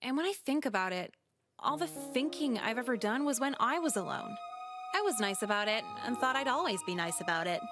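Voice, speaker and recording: A high-voiced woman playing a teenage high school girl tells her story with cynical, blunt sarcasm. She is a sweary, conversational sociopath from a visual novel game, with music clearly playing behind her. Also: animated, brisk, natural voice. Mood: bitter, sardonic. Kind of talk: visual novel voice acting, narration.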